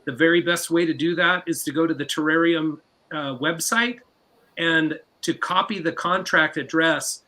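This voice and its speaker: A man's voice: a little high, normal speed, pushed and bright. A man hosting a live stream, giving casual advice with some filler words. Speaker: host.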